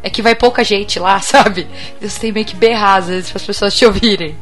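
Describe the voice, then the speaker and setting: A woman co-hosting a casual talk show, hoarse this week, chatting loosely and laughing often. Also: mid-range voice, normal speed, soft background music. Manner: laughs often, relaxed banter. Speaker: podcast co-host. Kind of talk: casual chat and sponsor read.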